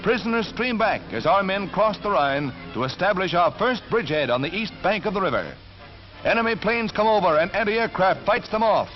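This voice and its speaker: A man's voice: high-pitched, animated, brisk. An American man reading scripted 1940s wartime newsreel narration in a dramatic, emphatic announcer's delivery. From United States. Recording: dull 1940s newsreel soundtrack. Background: orchestral music.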